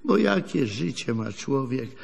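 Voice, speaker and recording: A folksy old grandfather in a radio play, spinning wry, wistful tales in rustic speech. His voice is husky and creaky, with an unhurried delivery. It rings in a long reverberant space. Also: medium pitch, big pitch swings, exaggerated voice. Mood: confessional, sorrowful. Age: older. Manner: rambling folksy storytelling, chuckling, rustic colloquial speech. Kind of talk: radio drama acting.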